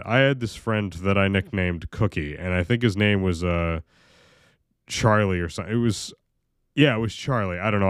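A young American man with a very deep voice, rambling through a casual vlog monologue full of fillers, restarts and swearing. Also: clear, resonant tone, no room sound, clean studio sound. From United States. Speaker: video creator.

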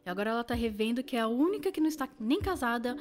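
Actress as a quick, blunt Brazilian woman. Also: on the high side, lively intonation, natural voice. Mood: gossipy. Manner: blunt, opinionated, slangy. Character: blunt, loyal, no-nonsense inner voice of a woman. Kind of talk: conversational audio drama acting.

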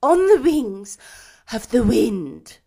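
A woman voicing a mournful male ghost bound in chains, booming and drawn out in a storybook reading. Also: big pitch swings, husky, full character voice. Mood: wailing, mournful. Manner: loud, drawn-out, lamenting delivery.

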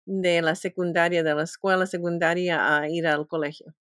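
Woman host with a low voice. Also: flat delivery, normal speed, fairly bright tone, dry recording, studio quality. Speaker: podcast host. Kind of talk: podcast interview, scripted intro.

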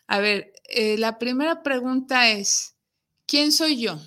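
Bright-voiced Mexican woman hosting a show. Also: on the high side, slow. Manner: explanatory, poses rhetorical questions. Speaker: host of an interactive talk show. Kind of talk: conversational presenting to an audience.

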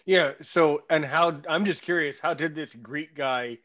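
A man interviewing a podcast host, curious and halting, often restarting his sentences. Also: on the high side, a bit bright, highs cut off. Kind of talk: casual interview chat.

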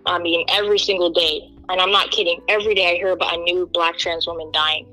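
A woman's voice: on the low side, fast talker, soft background music, poor audio. A young woman from Kenya as an interview guest, speaking in an earnest, emphatic way. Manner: earnest, emphatic, animated when quoting others. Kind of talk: candid interview talk.

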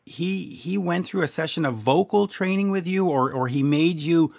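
A man's voice: on the high side, normal speed, gravelly, a little mellow. Eager male interviewer, stumbling restarts. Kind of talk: interview questions.

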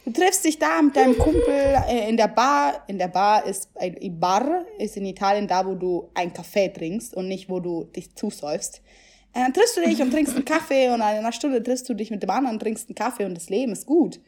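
A woman's voice: mid-range voice, animated, a little fast, darkish tone. A young woman who grew up in Germany, chatting casually and animatedly, swearing and dropping English phrases into her talk. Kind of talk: casual chat.

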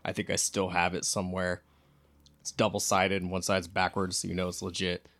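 A man's voice: low voice, completely flat delivery, brisk, a bit bright, dry recording. A man co-hosting a casual chat about films, informal and joking as he talks. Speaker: podcast co-host.

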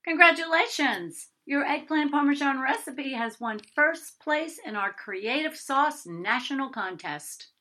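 A woman acts out a chirpy company phone caller from a storybook, gushing and emphatic. The voice is bright and lifted, swinging widely in pitch with cheery congratulation. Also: expressive, unhurried, few pauses, exaggerated voice. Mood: excited, congratulatory. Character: chirpy sauce company caller announcing a contest win.

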